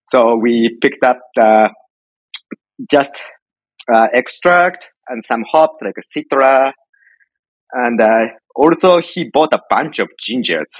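A middle-aged man from Japan with a Japanese accent, telling stories casually as an interview guest in halting, informal phrasing. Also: medium pitch, fairly bright tone, slightly rough, dry recording, rough recording. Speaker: podcast guest, home brewer.

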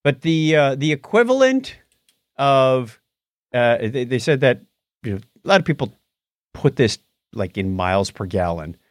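Animated man chatting as podcast host. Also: mid-range voice, no room sound, clean studio sound. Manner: halting, conversational, mildly skeptical. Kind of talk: casual tech news chat.

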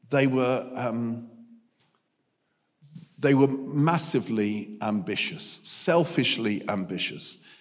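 A man preaching a humorous sermon as a guest speaker, telling stories with jokey, animated asides, in a church hall. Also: sits high.

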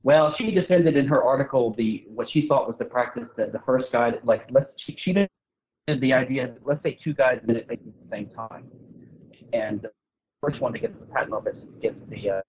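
A man with a husky, slightly rough voice at an average pitch speaks as a guest in an informal discussion. He explains his points emphatically and argues his case. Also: moderate pace, darkish tone, poor audio. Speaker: guest speaker on intellectual property.

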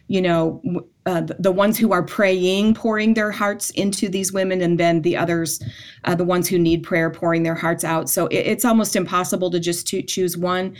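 Woman, 40s, lower voice, casual podcast guest, sincere storyteller who restarts often. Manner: heartfelt storytelling with frequent restarts. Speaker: events ministry guest.

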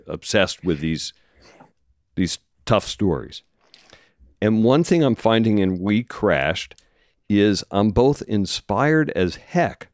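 Very deep-voiced male host, brisk and eager. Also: darkish tone, no echo, studio quality. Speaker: host and moderator. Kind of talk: moderating a panel.

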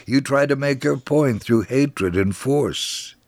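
A man voicing a stern, hostile rabbi who warns and accuses with slow emphasis in a dramatized radio testimony. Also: medium pitch, darkish tone, no echo, voice pushed up. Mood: stern, threatening.

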